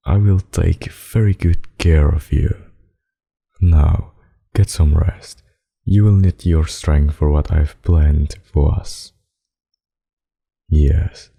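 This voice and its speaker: A man playing a centuries-old, possessive and sadistic vampire in an intimate ASMR roleplay, speaking in a husky, silky, dominant and condescending way. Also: very deep voice, dark tone, full character voice.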